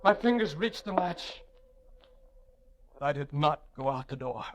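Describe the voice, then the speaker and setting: A man's voice: on the high side, animated, a little slow, a little hiss or hum. An actor playing an anguished, lonely prisoner recounting his confinement in an old radio drama, with theatrical, intense delivery. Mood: defiant, agitated. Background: music.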